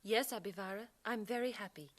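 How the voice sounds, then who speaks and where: A woman's voice: medium pitch, fairly quick, natural voice. A woman playing a sweet, thoughtful young village bride-to-be in a radio drama. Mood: happy. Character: young village bride-to-be, sweet but anxious about progress.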